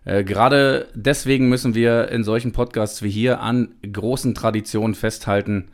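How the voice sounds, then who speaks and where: A man's voice: fairly low pitch, steady tone, normal speed. A man hosting a podcast, talking casually and at length with his guest, with many small hesitations. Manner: chatty, many fillers and restarts. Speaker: podcast host. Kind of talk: casual chat.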